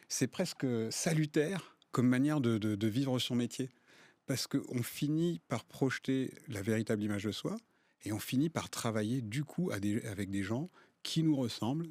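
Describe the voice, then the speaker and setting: A man's voice: mid-range voice, a little mellow, breathy edge, no room sound. Fluent enthusiastic man on a panel. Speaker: panel guest, entrepreneur. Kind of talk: casual panel discussion.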